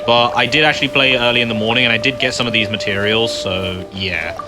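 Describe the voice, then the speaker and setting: A man's voice: medium pitch, steady tone, fast talker. A man giving casual, offhand playthrough commentary as host of a gaming video. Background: game music.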